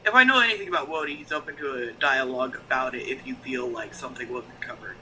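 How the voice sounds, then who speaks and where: A man's voice: medium pitch, quick pace, pushed and bright, slight background noise, rough recording. A man who reacts to shows on YouTube, talking frankly as a guest on a stream, rambling through his thoughts. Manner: rambling, frank, lots of fillers and restarts. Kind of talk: casual stream chat.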